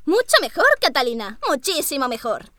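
A woman's voice: high voice, sing-song, normal speed, pushed and bright, slight background noise, exaggerated voice. A haughty bossy lady of the house. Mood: commanding. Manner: imperious and scolding. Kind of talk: radio drama acting.

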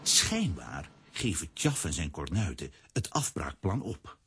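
A man narrating a children's radio play in a scripted storytelling style, with a husky, raspy voice. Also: low voice, big pitch swings, unhurried, sharp tone, exaggerated voice.

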